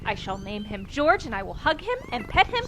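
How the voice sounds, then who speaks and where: A woman's voice: a little high, big pitch swings, a little fast, a bit bright. A woman playing a gushing, overexcited space crew member in a sci-fi comedy radio drama. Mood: gleeful. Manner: gushing, exuberant. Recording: clear background noise.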